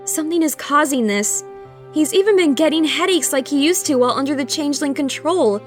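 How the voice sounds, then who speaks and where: A woman plays a worried young royal pony in a cartoon audio drama. She is high-pitched, gentle and earnest, speaking with polite concern over clear background music. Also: expressive, brisk. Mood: worried, pleading.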